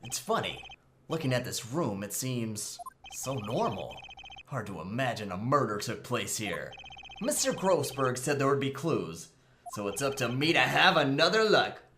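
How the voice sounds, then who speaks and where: Man playing a wisecracking, animated young attorney. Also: mid-range voice, moderate pace, noisy background. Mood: musing. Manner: sarcastic, quippy. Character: wisecracking young defense attorney, earnest and incredulous. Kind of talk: visual novel game fandub acting. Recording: music behind the voice.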